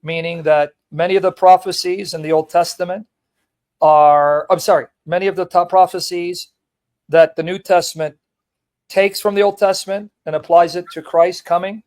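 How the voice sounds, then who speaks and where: A man's voice: a little high, a little mellow. A middle-aged man hosting a call-in debate, blunt and teasing, rambling as he explains and fumbles with his setup. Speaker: live-stream host debating callers.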